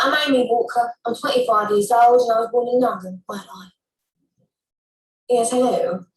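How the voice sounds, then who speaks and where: A woman in her twenties, an actress, playfully running through a rapid string of English accents in a played clip. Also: a little high. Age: 20s. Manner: switches between many English accents, playful. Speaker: actress doing an accent showcase. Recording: roomy sound from a distance.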